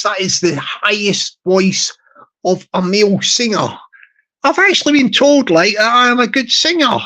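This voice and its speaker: A man with a high tenor voice hosting a live stream in slow, rambling casual chat full of fillers and repeated words. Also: a bit bright. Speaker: YouTube live stream host.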